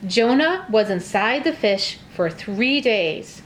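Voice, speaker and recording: High-voiced woman telling a children's story. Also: lively intonation, takes it slowly, a little hiss or hum. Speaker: narrator of a children's church puppet show.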